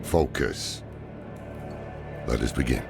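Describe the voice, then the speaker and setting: An actor playing a weary, stern old scholar in a dark fantasy game, grave and terse, with a gravelly voice. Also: male voice, expressive, slow pace, over music, heavily acted. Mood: grim, resolute. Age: older. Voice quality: deep, gravelly.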